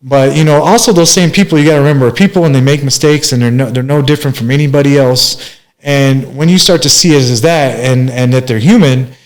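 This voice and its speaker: A man's voice: medium pitch, fairly quick. A man in his forties from Minneapolis, telling his life story as an interview guest in a rambling way, with many fillers and restarts. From Minneapolis, USA. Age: 40s. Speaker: guest, master barber.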